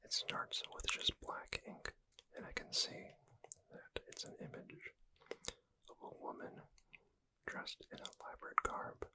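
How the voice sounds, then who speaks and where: A performer voicing a gentle witch bookseller in an ASMR roleplay, soothing a customer to sleep with an unhurried, breathy whisper. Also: natural voice, low-quality recording. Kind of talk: ASMR roleplay, reading aloud and describing books.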